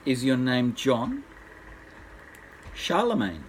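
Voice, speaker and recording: A man's voice: medium pitch, huge pitch swings, slow pace, slight background noise, low-quality recording. A grandfather voicing an anxious young queen who pleads and questions, in a bedtime storybook tale. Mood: questioning. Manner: beseeching, questioning.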